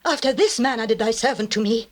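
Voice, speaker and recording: An actress playing a seductive, scheming wife in a dramatized scripture recording, declaiming archaic lines theatrically. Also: female voice, on the high side, quick pace, close to an everyday voice. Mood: accusing, indignant.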